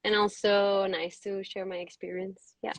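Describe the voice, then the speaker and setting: A woman chats in a relaxed way at a medium pitch. She talks about nursing with easy fillers. The recording is dry and close. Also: moderate pace, no room sound. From Canary Islands, Spain. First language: Spanish. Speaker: nurse. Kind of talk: casual interview.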